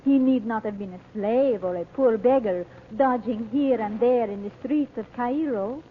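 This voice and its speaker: An actress playing a wise, soothing Egyptian woman in an old radio serial, speaking formally and deliberately. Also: a little high, slightly rough. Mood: solemn, mysterious. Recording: tinny, noisy old radio recording.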